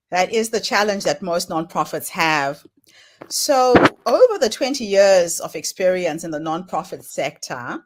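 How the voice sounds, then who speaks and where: A woman's voice: lowish voice, slight background noise. A woman hosting an interview, asking questions and giving short reactions. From Africa or Australia region. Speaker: interview host.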